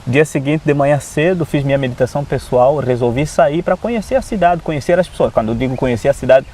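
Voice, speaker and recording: A man from Africa with an African accent, a non-native Portuguese speaker, telling his testimony as an Adventist preacher in an animated way, often adding "né". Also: mid-range voice, quick pace, a little hiss or hum. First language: not Portuguese. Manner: animated storyteller who often adds "né". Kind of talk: personal testimony in conversation.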